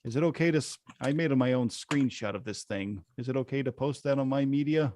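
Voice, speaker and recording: A man's voice: mid-range voice, brisk, darkish tone, slight background noise. A man asking casual questions in a group chat, a bit hesitantly.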